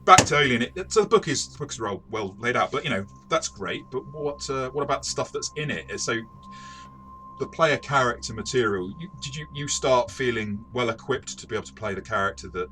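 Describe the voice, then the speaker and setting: A man co-hosting a casual game review discussion, talking in a rambling, halting way and often repeating words. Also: medium pitch, lively intonation, bright, forceful tone, a little hiss or hum. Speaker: podcast host and game reviewer.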